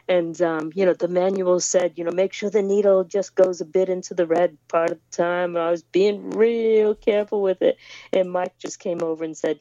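A woman's voice: on the low side, mellow, dry recording, dull audio. A woman who grew up in the United States, chatting as a musician guest over a remote call, hesitant with many restarts and fillers. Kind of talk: casual interview chat over a remote call. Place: home in England.

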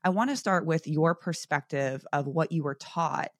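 Low-voiced woman host, dry sound. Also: moderate pace, no echo. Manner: curious, conversational questioning. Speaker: podcast host. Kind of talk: podcast interview.

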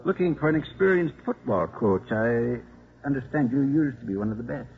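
An actor playing a mild, polite and quietly shrewd elderly priest in a 1950s radio drama. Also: male voice, mid-range voice, expressive, normal speed, vocal fry. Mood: earnest. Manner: polite, gentle, slyly understated. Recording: thin, phone-like 1950s radio recording, noise.

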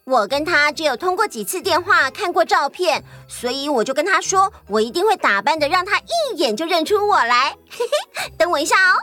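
A very high, bright voice of a woman playing a bubbly young assistant in a children's audio story. She is chatty, boastful and scatterbrained, with excitable, swooping delivery. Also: normal speed, soft background music, heavily acted. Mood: gleeful.

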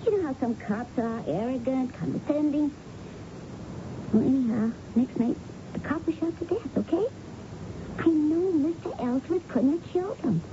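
An actress playing a nervous, doubtful waitress in a 1970s radio drama, speaking hesitantly and second-guessing herself. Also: female voice, sits high, normal speed, natural voice. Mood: agitated, hesitant. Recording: dull, noisy old radio broadcast.

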